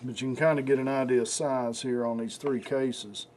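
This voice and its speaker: A man with a hoarse, raspy voice from the flu, hosting a casual knife show with rambling talk and many fillers. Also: mid-range voice, fairly even intonation, moderate pace, a little mellow, slight background noise. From United States. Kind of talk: casual product demonstration.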